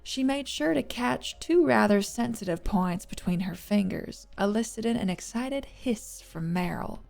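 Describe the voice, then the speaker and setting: A woman's voice: mid-range voice, animated, measured pace, vocal fry, a little mellow, faint music behind. A woman reading scripted fiction as a narrator.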